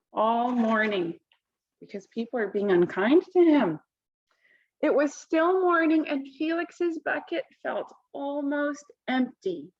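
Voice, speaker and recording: A woman reading a picture book aloud to children, expressively, pausing to comment and ask simple questions. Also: high-pitched, dull audio. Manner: expressive, asks simple questions of young listeners. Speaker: storybook reader.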